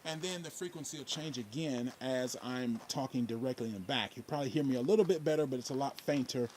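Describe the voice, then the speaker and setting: A man, speaking casually outdoors under a shade tree into a camera-mounted shotgun mic, explaining fishing-video gear in a relaxed, rambling way. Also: medium pitch, fast talker, a little hiss or hum. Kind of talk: casual gear advice vlog.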